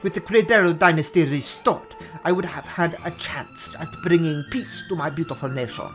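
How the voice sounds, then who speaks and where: A man's voice: on the high side, moderate pace, faint music behind, natural voice. A man voicing a courteous, melancholy fox prince with a Spanish accent and a formal, earnest way of talking. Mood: mournful.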